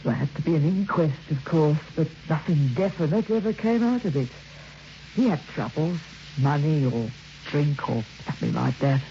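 A woman's voice: deep voice, expressive, normal speed. An actress playing a gossipy, plain-spoken, working-class woman in an old radio drama, hesitant and matter-of-fact. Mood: guarded. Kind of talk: old-time radio acting. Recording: dull, noisy old radio recording.

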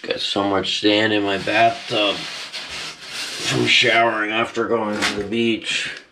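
A young man casually narrating his own vlog, relaxed and rambling with plenty of fillers, recorded on an action camera at home and outdoors by a lake. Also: on the low side, takes it slowly, background noise. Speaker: vlogger. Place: apartment indoors, then outdoor park by a lake.

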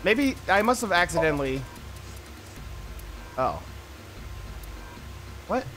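Lively, high-pitched man streaming a game. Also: takes it slowly, faint music behind. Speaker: streamer playing a puzzle adventure game. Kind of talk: casual game commentary, reading on-screen text aloud.

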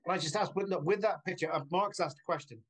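A man's voice: sits high, fast. A man moderating a talk on a video call, casually putting questions and comments to the speaker. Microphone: video call microphone.

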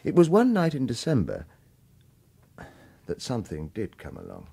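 An actor playing a sardonic, world-weary retired government assassin in a radio drama, dry and gruff. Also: male voice, mid-range voice, huge pitch swings, moderate pace, warm tone, slight background noise. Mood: weary, wry. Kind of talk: radio drama acting, first-person narration and dialogue.

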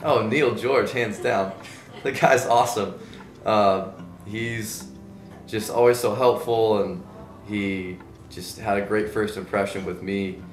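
A young man in his twenties talks in an easygoing, chatty way. His voice sits a little low. The room echoes around him. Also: slow pace, faint music behind. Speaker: exchange student. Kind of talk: interview answers.

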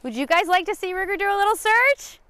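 A woman's voice: very high voice, animated, fast talker, a bit bright. A woman presenting playfully for children as a show host, chirpy and eager in manner. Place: outdoors in a grassy forest clearing.

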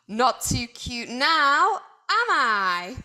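A woman's voice: sits high, big pitch swings, very slow, fairly bright tone, pitched above the performer's own voice, exaggerated voice. A woman voicing a small, cute quokka that keeps trying to act fierce, in a playful, defiant, huffy way.

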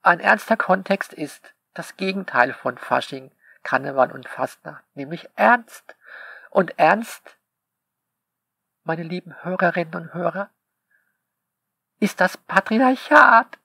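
A man talks to his listeners in a slow, unhurried drawl. His voice is gravelly with fry. He sounds sarcastic and polemical, and his delivery is full of rhetorical questions, recorded dry and close with no room sound. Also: high-pitched, expressive, sharp tone, no room sound. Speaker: author reading commentary on his novel. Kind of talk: spoken monologue to listeners.

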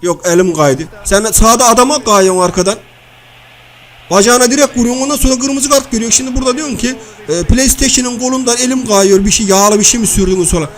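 Casting: male performer as crude, high-voiced comic everyman, slangy and blustering, nagging through cartoon game banter. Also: fast. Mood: grumbling, dismissive. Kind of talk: comic video-game banter and match commentary.

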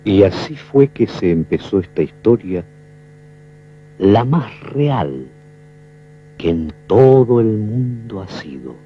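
An actor playing a solemn storyteller with an Argentine accent, narrating a folk legend for a film in poetic, measured phrases. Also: male voice, lowish voice, lively intonation, slight background noise. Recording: muffled 1970s film soundtrack, faint music.

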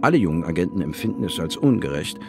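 Low-voiced middle-aged scientist narrator. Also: male voice, big pitch swings, fast. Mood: wry. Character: middle-aged male scientist narrating his alien mission, dry and wry. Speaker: narrator and lead actor in a radio play. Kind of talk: scripted first-person narration, German audio drama. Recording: music under the voice.

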